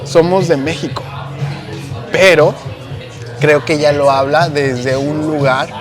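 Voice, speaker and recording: Animated Mexican man, slangy chat over music. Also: on the high side, slow pace. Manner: animated, slangy, searches for words with many fillers. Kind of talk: casual conversational chat.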